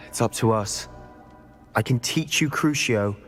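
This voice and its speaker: A man's voice: medium pitch, takes it slowly, fairly bright tone. A man voicing a reckless, driven teenage wizard boy in a video game, with an urgent, blunt manner. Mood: frustrated, resolute. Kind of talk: video game cutscene voice acting. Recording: game dialogue over background music.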